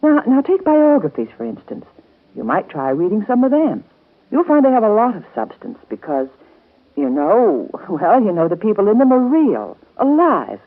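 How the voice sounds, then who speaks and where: An actress in a 1930s radio soap opera playing a patient, motherly guardian, gentle, earnest and coaxing. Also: mid-range voice, wildly swooping pitch, moderate pace, phone-quality sound. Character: warm, patient motherly guardian woman of twins. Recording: band-limited scratchy 1930s radio broadcast.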